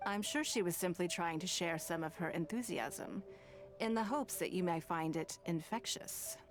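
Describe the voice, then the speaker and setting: An actress voicing a composed, shrewd noblewoman in command in a video game, measured, eloquent and formal. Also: fairly low pitch, faint music behind, close to an everyday voice. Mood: assured.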